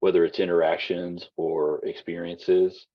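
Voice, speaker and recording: A man teaching an online class in a loose, rambling way with lots of fillers, his voice husky and giving out. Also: low voice, flat delivery, unhurried, muffled recording. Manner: casual, rambling, many fillers and restarts. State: losing his voice. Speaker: college instructor.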